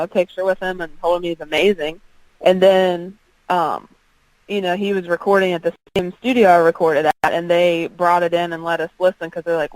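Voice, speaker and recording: A woman's voice: fairly low pitch, dry recording, poor audio. A woman singer-songwriter with an alto voice, chatting in an interview with frequent ums and restarts. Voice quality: strong alto voice. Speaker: country singer-songwriter guest. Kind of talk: casual interview chat.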